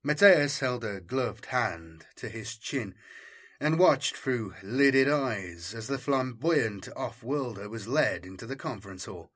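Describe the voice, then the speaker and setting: A man reading a military science fiction story as dramatic scripted narration, in a measured, formal storytelling manner. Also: medium pitch, animated, a bit bright, breathy edge. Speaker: audiobook narrator.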